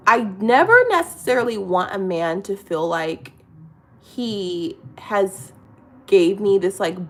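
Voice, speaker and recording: A woman's voice: mid-range voice, a bit bright. A middle-aged woman chatting casually and confidingly in a get-ready-with-me vlog, full of fillers and restarts. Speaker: vlogger.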